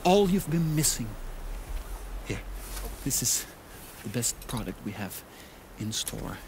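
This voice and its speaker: Slow, theatrical salesman. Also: medium pitch, big pitch swings, vocal fry, a little mellow, full character voice. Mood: smooth, persuasive. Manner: theatrical, persuasive sales patter. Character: eccentric, smooth-talking male salesman of new lives. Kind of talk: animated film acting. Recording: faint outdoor ambient noise behind.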